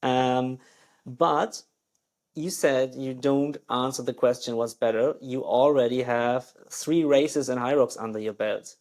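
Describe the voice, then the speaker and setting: A man's voice: mid-range voice, measured pace, a little mellow. A man hosting a podcast and interviewing a guest casually, hesitant and often restarting his sentences, with laughter between questions. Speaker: podcast host.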